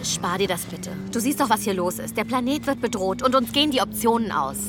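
A woman's voice: mid-range voice, lively intonation, a little fast, over music, lightly acted. An actress playing an urgent, businesslike woman at a crisis command in a comedic sci-fi audio drama. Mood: curt, grave.

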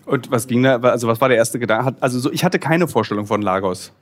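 A man's voice: medium pitch, fast. A man interviewing a guest, asking questions and sharing his own impressions in a casual, curious way.

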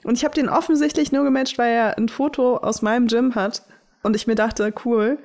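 A woman's voice: a little high, steady tone, a little fast, a little mellow. A young woman chatting casually as a podcast co-host, often laughing. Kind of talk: casual chat.

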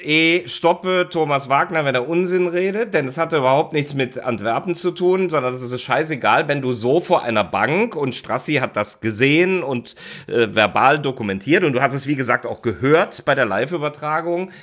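A man's voice: on the high side, expressive, normal speed, fairly bright tone. A man with an accent hosting a casual football talk, chatty and laughing easily, often breaking off mid-sentence. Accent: non-standard German accent.

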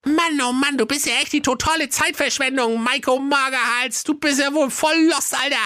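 A man's voice: very high-pitched, fairly even intonation, moderate pace, pushed and bright, dry recording, clean studio sound, pitched above the performer's own voice. A man voicing a cold-hearted, rude young princess in a comic fairy tale, talking in snotty slang.

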